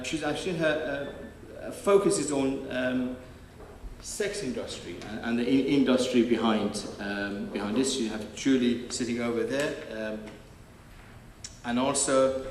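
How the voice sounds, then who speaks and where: A man moderating a panel, introducing speakers with many fillers and restarts. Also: mid-range voice. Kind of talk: hesitant live introduction. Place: large echoey hall. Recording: distant mic, echoey hall, faint noise.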